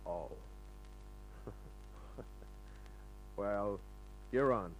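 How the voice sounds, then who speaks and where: Low-voiced wisecracking radio detective. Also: male voice, very slow, low-quality recording. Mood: flirtatious, casual. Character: wisecracking male private detective, flirtatious, tough, easygoing. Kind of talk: old-time radio drama acting with first-person narration. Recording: old radio recording with hum.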